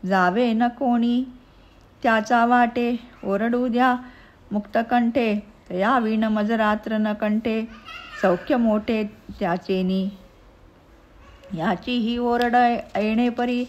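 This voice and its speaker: Slow, high-pitched woman reciting verse. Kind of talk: measured recitation of devotional narrative verse.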